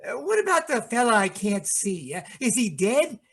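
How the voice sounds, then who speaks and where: A very high-pitched young brother in a radio play. He is a shell-shocked veteran, slow-witted and childlike. His speech is halting and devoted, full of repeated words. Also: sing-song, fairly bright tone, husky, full character voice. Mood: worried, confused. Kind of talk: old-time radio drama acting.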